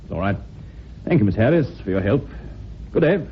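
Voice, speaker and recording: An actor playing a shrewd, businesslike police inspector with a brisk, probing way of questioning, in an old-time radio drama. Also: male voice, very animated. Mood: polite. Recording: rumbly, noisy old narrowband radio recording.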